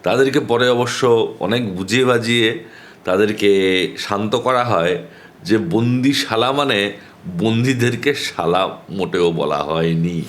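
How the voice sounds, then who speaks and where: A man telling humorous anecdotes in a conversational way, with pauses and animated quoting of dialogue. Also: mid-range voice. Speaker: storyteller.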